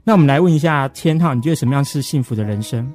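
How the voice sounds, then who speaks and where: A man hosts a radio interview in Taiwanese Mandarin. He talks quickly in a mellow, mid-pitched voice, effusive and encouraging with his guests. Light music plays softly behind him. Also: animated. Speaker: interview host.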